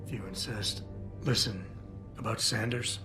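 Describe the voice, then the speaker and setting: A terse male security operative with a deadpan manner, played by a man in a video game. The voice is fairly low, and music runs clearly beneath it. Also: unhurried, natural voice. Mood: guarded. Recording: game soundtrack with music under speech.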